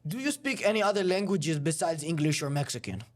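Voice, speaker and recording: A young man hosting a comedic interview with a deadpan, provocative delivery. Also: on the high side, a little fast. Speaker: host.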